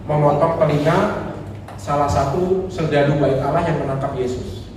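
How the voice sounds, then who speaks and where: A man, a priest, giving a lively sermon talk, acting out quoted voices and joking with the audience. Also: fairly high pitch, distant mic, background noise. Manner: animated, acts out quoted voices, jokes with audience. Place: church hall. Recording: echoey hall recorded from afar.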